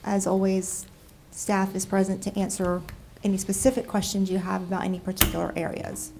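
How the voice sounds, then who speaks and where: A woman with a cold, nasal and froggy, presenting a city budget to council in a measured way with frequent ums. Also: medium pitch, flat delivery, creaky, background noise. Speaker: city deputy budget director. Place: council chamber.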